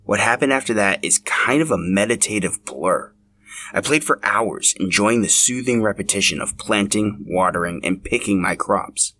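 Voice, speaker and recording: A man's voice: fairly low pitch, moderate pace, bright, forceful tone. A man narrating a scripted podcast as its host.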